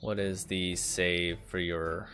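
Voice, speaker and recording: A man with a bright, clear-cutting voice runs a tabletop roleplaying game. He speaks casually and somewhat slowly, explaining rulings as game master, over faint music. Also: deep voice, level tone.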